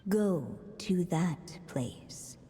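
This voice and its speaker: A solemn fairy-tale voice drifting through a great hall in a game, lyrical and unhurried. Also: female voice, expressive, mellow. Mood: solemn, mysterious. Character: mysterious female storybook narrator reciting riddles. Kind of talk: scripted fairy-tale narration. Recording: long hall reverb, faint rumble.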